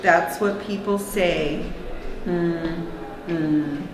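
A woman's voice: fairly low pitch, drawn-out words, over music. A woman giving a dramatic reading of lyrics indoors at a school. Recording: echoey room, background noise.

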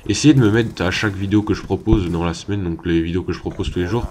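A man's voice: sits low, fast, darkish tone. A young man giving casual commentary over a gameplay video, talking hesitantly with many fillers and restarts. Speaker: gaming YouTuber.

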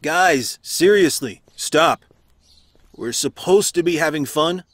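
Man acting animated, higher-pitched family man. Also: slow, no echo. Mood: weary. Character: laid-back, apologetic family man. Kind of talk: audio drama acting.

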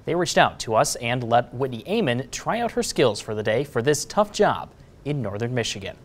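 A man's voice: medium pitch, very animated, moderate pace. A man in his thirties with an American accent, reading a scripted news introduction in a TV studio. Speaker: news anchor.

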